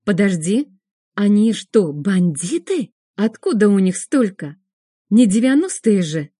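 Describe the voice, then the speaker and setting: Woman playing a bossy rapid-fire best friend. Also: medium pitch, sing-song, warm tone, slightly rough, dry recording, clean studio sound. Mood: alarmed, scolding. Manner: rapid-fire, exclamatory, scolding. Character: chatty, bossy, fast-talking female best friend on phone.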